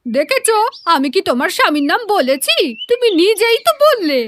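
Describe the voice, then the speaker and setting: A woman's voice: very high voice, big pitch swings, brisk, background noise. A woman voicing a quarrelsome, mocking village wife in a moral-story cartoon, with a scolding, sarcastic manner. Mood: taunting.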